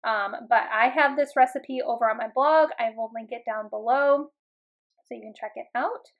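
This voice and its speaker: A woman in her thirties talking casually over her home cooking, relaxed and chatty, with frequent ums and uhs. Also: on the high side, moderate pace, muffled recording.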